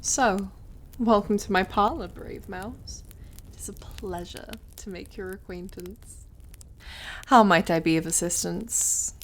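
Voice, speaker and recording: Woman acting a slow, silky, mocking devil. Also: medium pitch, a little hiss or hum, close to an everyday voice. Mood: silky, menacing courtesy. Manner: theatrical, drawling, over-polite, taunting. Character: suave, mocking, condescending devil who deals in soul contracts. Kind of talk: ASMR roleplay monologue.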